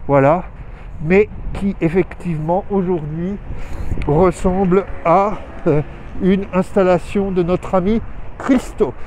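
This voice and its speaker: A man's voice: sits high, fairly even intonation, takes it slowly, mellow, low-quality recording. Man rambling outdoors over faint traffic. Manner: rambling, hesitant, many fillers and restarts. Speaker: host of weekly live show. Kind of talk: casual live vlog update. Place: outdoors in town streets.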